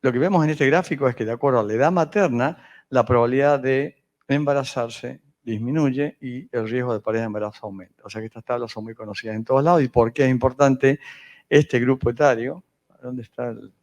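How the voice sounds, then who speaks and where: A man from northern Argentina with a northern Argentine Spanish accent, giving a medical lecture on fertility, pausing often with fillers and restarts. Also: medium pitch, steady tone, darkish tone. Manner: hesitant, many fillers and restarts.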